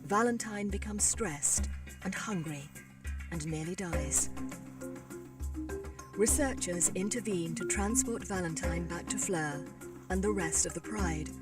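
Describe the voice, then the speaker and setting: Low-voiced woman narrating over loud music. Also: a little slow, long pauses. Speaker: video narrator. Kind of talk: scripted documentary narration. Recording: distant playback under loud music.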